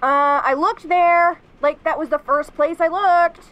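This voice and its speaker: A woman acts out a tsundere tomboy in an audio roleplay. She is sassy and easily flustered, talking casually and defensively, with sarcasm that covers her softer side. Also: very high-pitched, fairly even intonation, unhurried, highs cut off. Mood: impatient. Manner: casual, defensive, sarcastic, hesitant when sentimental.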